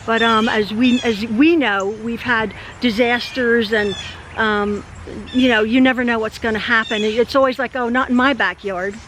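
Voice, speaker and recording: An older woman with a high, hoarse voice, worn out after an illness, chatting outdoors in a rambling, heartfelt way. Birds sing faintly behind her under a light hiss of noise. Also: steady tone, normal speed. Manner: rambling, warm, frequent laughs and fillers. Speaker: channel host. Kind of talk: casual vlog chat. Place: outdoors in a backyard.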